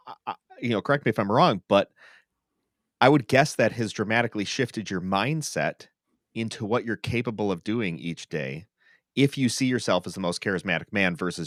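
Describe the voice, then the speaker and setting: A man's voice: lowish voice, fairly bright tone, dry recording, clean studio sound. A man hosting a podcast in casual conversation, reflecting thoughtfully and affirming the person he talks with. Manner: affirming, reflective, checks in with "right?". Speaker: podcast host. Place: online video call for a livestream podcast.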